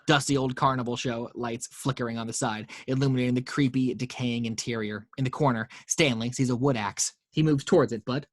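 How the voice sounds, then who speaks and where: A man reading action lines aloud as narrator of a table read, in a steady storytelling way with small slips. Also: mid-range voice, a little fast, few pauses, no echo, clean studio sound.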